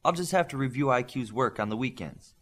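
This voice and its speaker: Young female martial artist, resolute and composed, animated intonation, voiced by a male reader. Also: mid-range voice, brisk. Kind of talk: audiobook character dialogue.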